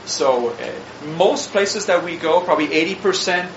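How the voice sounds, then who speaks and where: A man's voice: high-pitched, expressive, moderate pace, noisy background. A man with a light Swiss accent walks a church audience through his mission slides. He talks earnestly and often tags his points with "right?" The sound is roomy and a little muffled, as if recorded in a church hall. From Switzerland. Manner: earnest, warm asides, frequent "you know" and "right?". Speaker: missionary speaker. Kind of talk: presenting slides to a congregation.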